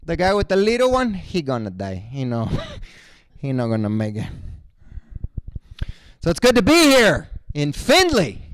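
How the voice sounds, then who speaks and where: Lively high-voiced stand-up comic. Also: male voice, measured pace, long pauses. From Cuba, raised in Miami. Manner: animated, shouts voices of characters in his jokes. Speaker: stand-up comedian. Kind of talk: stand-up comedy set. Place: basement room at a college show.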